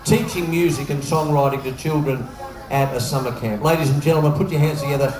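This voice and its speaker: A man's voice: a little high, quick pace. A man announcing acts as master of ceremonies at a live talent show, in an upbeat showman manner. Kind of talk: stage announcing. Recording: echoey hall with cheering crowd.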